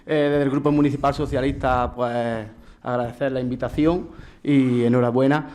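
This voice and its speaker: Man, hesitant, formal thanks, medium pitch. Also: steady tone, normal speed, a little mellow, a little hiss or hum. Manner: hesitant, many fillers. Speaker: municipal councillor. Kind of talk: brief formal thanks at a public event.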